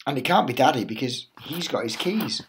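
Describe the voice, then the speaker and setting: A man's voice: mid-range voice, animated, brisk, lightly acted. A man voicing a hospitable, homely young mother in a children's picture book, with some background noise. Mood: puzzled.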